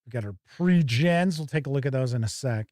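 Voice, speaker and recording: A man hosting a tabletop game show, giving casual, halting live unboxing commentary and thinking aloud. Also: medium pitch, expressive, darkish tone, no room sound, studio quality.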